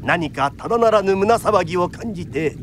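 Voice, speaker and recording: An actor narrating a period radio drama as a theatrical kodan storyteller, formal and declamatory. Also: male voice, sits high, expressive, moderate pace, slight background noise. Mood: dramatic narration. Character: lively traditional storyteller narrating a period tale.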